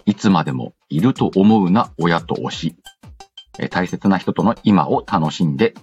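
Very deep-voiced man, casual radio host. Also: expressive, moderate pace, a little mellow, slightly rough. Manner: playful, slangy, self-interrupting asides. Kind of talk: casual chat, reading listener letters. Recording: dull lo-fi sound with music behind. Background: background music.

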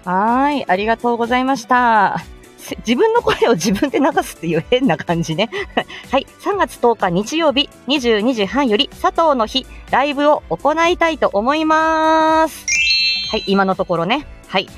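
A woman's voice: on the high side, sing-song, moderate pace, soft background music. A woman hosting a casual solo audio show, giggly, self-deprecating and rambling through her announcements. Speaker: host of a morning audio show.

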